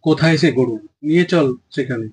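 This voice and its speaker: A man voicing a hungry, bullying tiger in a children's cartoon fable, husky, menacing and imperious. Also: on the high side, brisk, darkish tone, slight background noise, close to an everyday voice, poor audio.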